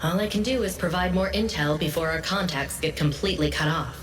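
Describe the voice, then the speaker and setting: A woman playing a composed intelligence analyst in a video game, giving briefings in a measured, serious, informative way. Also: on the low side, close to an everyday voice. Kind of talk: scripted game dialogue. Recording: echoey hall, distant, faint music behind.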